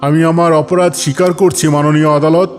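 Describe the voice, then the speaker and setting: Man playing a higher-voiced dignified cartoon caliph. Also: level tone, fairly quick, lightly acted. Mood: contrite, earnest. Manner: formal, earnest. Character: dignified, humble, righteous caliph. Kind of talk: animated moral drama acting. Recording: faint music behind the voice.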